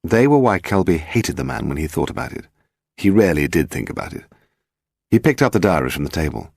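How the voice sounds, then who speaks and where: A British man narrates fiction in a low voice with plenty of melodic movement. The reading is scripted storytelling, recorded close and dry. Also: very animated, brisk, vocal fry, darkish tone, slightly rough, no room sound. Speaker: audiobook narrator.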